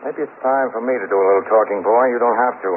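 An actor playing a blunt, hard-boiled police lieutenant in a 1950s radio drama. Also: male voice, on the low side, fast, close to an everyday voice. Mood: tough, pressing. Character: brisk, tough male police lieutenant. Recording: scratchy, narrow-band 1950s radio recording.

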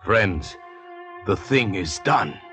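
Deep, suave, smug convict doctor. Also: male voice, very animated, sharp tone, faint music behind, full character voice. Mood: smug, celebratory. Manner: urbane, measured, condescending. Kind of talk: 1940s radio acting. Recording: noisy old radio recording.

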